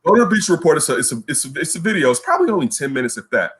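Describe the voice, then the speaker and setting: A man co-hosting a casual sports talk show, ranting in an animated way and repeating words when he gets worked up. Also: on the high side, racing. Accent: Chicago. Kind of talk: casual radio show rant.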